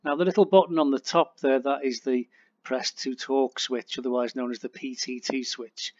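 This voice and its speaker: Male instructor for an aviation tutorial, mid-pitched, casual and plain in explanation. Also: steady tone, moderate pace, darkish tone, no room sound, muffled recording. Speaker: flight radio instructor. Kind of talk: casual instructional narration over flight simulator.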